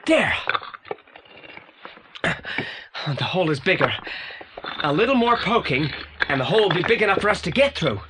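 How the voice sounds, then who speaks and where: A man's voice: high voice, sing-song, moderate pace, long pauses, noisy background. An actor playing a pompous, unflappable teenage boy detective in an audio drama, speaking in precise, formal, long-winded sentences. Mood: composed, determined.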